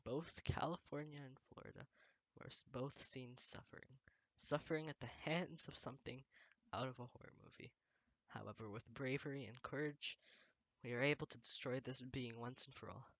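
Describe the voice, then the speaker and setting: A man's voice: mid-range voice, moderate pace, fairly bright tone, dry recording, poor audio. A man playing a solemn presidential spokesman reading a formal national address in an amateur drama. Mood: solemn, resolute.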